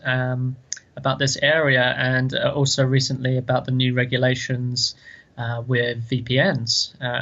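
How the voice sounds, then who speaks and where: Flat, bright-toned man from London. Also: mid-range voice, vocal fry. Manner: chatty, repeats words. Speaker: podcast co-host. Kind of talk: casual chat. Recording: dull lo-fi sound.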